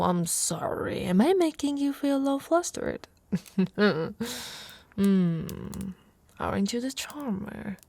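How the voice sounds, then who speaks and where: A woman's voice: mid-range voice, creaky, warm tone, breathy edge, heavily acted. A woman from Italy voicing an affectionate, playfully boastful orc warrior wife in an intimate, teasing ASMR roleplay, often dropping to a whisper. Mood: flirty.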